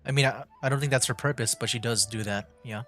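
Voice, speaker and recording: A man's voice: medium pitch, fast talker, a bit bright, faint music behind. A man giving casual livestream commentary on a game, with wry, easygoing asides. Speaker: streamer, gamer.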